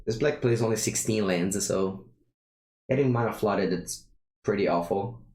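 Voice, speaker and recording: A young Italian-accented man with a deep, low voice talks through his card game live, stumbling and restarting as he thinks aloud. His voice carries a roomy echo. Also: normal speed, a little mellow. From Italy. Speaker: streamer playing a card game. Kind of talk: casual gameplay commentary.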